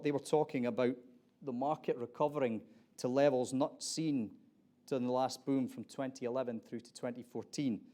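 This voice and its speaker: A man's voice: medium pitch, a little mellow. A middle-aged man from Aberdeen with a Scottish accent, introducing a conference panel in a conversational way with frequent restarts. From Scotland. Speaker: panel moderator.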